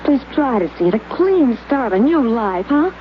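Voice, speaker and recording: A woman's voice: fairly high pitch, big pitch swings, normal speed, a little hiss or hum. An actress playing a world-weary woman who mocks and then pleads, in 1950s radio drama style. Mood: pleading. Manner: teasing, mocking, then pleading. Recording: dull lo-fi old radio recording.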